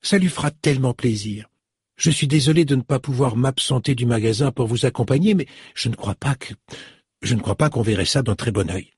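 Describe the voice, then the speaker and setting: A man's voice: medium pitch, a little fast, darkish tone, breathy edge, no room sound, studio quality. A man voicing a cheerful, chatty young female shop assistant in an audiobook. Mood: eager. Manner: chatty, eager, exclamatory.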